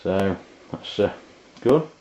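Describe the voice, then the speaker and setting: A man giving a casual, halting, unscripted product review as he goes. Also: low voice, lively intonation, warm tone. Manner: halting, hesitant delivery. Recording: dull lo-fi amateur video sound.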